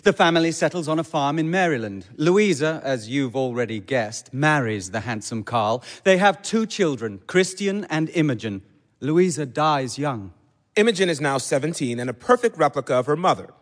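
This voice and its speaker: An actor playing a knowing, wry chorus who narrates an audio drama straight to the audience. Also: male voice, fairly high pitch, expressive, normal speed, natural voice. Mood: matter-of-fact.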